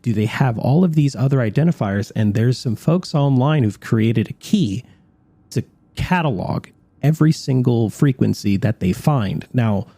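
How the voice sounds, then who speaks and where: A man's voice: medium pitch, animated, normal speed, warm tone, no echo. A man co-hosting a radio show, explaining things in a conversational talk-show style.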